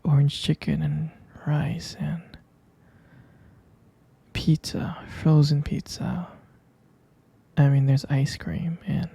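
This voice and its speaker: A tender, doting boyfriend in an ASMR sleep roleplay, acted by a man. His voice is very dark and relaxed, full of crackling fry and husky breath. He murmurs hesitantly and intimately, as if soothing a beloved partner to sleep. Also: heavily acted. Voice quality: soft, breathy whisper with low gravelly fry. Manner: slow, tender, hesitant with pet names.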